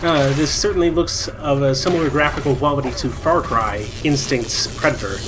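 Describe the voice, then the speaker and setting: A man's voice: fairly high pitch, normal speed, noisy background. A man hosting casual gaming commentary with a wry, hesitant way of talking. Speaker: Let's Play host. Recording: music playing behind the voice. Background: video game music.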